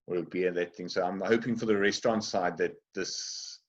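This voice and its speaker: A man answering interview questions over a call, halting and often repeating words. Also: low voice, fairly even intonation, muffled recording. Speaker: wine trade guest.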